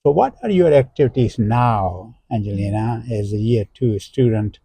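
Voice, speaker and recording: Older man, interview host, hesitant fillers, dark mellow tone, dry close mic. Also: on the low side, animated, slightly rough, no echo. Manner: halting, many "uh" fillers, explanatory. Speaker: interview host, professor emeritus. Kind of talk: unscripted interview.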